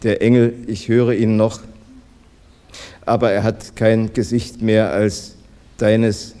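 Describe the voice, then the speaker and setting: A man's voice: on the low side, level tone, slow, mellow, smooth. A man reading a poem aloud, line by line. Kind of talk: poetry reading.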